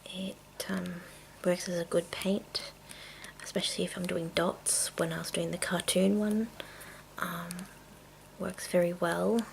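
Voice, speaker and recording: A woman making a casual ASMR video, speaking in a breathy, mostly whispered voice with a halting, rambling delivery. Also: fairly low pitch, level tone, a little hiss or hum. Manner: hushed, unhurried, halting. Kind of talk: ASMR, rambling product show-and-tell.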